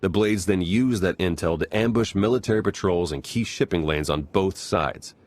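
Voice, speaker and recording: A man's voice: sits low, moderate pace. A man playing an easygoing, wry spy in a video game, casual and matter-of-fact. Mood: wry, businesslike. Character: wry, easygoing male spy briefing his team.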